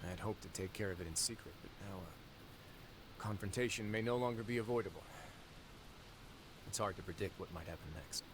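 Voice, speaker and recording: An actor playing a composed, shrewd man of authority in a video game, speaking in a measured, formal way. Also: low voice, noisy background, close to an everyday voice. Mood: composed, pensive. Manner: measured, deliberate, formal wording. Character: composed, shrewd nobleman in authority, quietly calculating.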